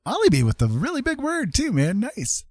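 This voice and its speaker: Male livestream host, casual and jokey, highly animated delivery, cheering players on, dry sound. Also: normal speed, no room sound. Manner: encouraging, jokey. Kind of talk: casual livestream game commentary.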